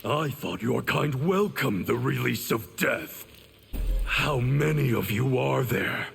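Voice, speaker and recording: Cold, gravelly ninja villain. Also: male voice, mid-range voice, lively intonation, a little hiss or hum, full character voice. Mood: cold scorn. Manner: cold, measured, scornful. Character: cold, contemptuous, power-hungry ninja villain. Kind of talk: dramatic fighting-game dialogue.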